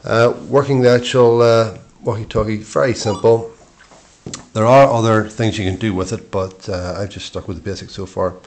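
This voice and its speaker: Middle aged guy w a really low voice doing a casual product review, matter of fact, short phrases, bit hesitant. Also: fairly even intonation, moderate pace, darkish tone. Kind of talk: informal spoken product review.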